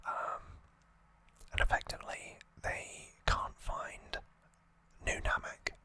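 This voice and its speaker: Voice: slow pace, pushed and bright. An ASMR host whispering an unscripted, slightly hesitant retelling, close to the microphone. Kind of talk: whispered ASMR trivia recap.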